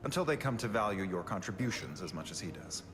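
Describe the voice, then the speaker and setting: Man acting as weary starship officer, low voice, measured and formal, earnest, sci-fi game dialogue, prominent music behind. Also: lively intonation, lightly acted. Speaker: Starfleet officer character in a game. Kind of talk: cinematic video game dialogue.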